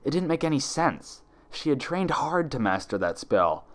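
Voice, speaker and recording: Animated male story narrator. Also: fairly high pitch, moderate pace. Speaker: fan fiction narrator. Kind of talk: dramatic scripted story narration.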